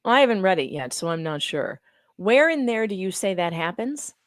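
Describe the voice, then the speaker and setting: A woman playing a measured, questioning trial judge in a dramatic reading of a court transcript. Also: medium pitch, very animated, dry recording, clean studio sound, natural voice.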